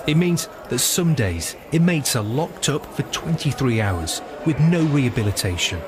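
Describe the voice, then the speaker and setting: A man narrating a documentary in a measured, matter-of-fact way. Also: medium pitch, animated, background noise. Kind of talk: scripted narration.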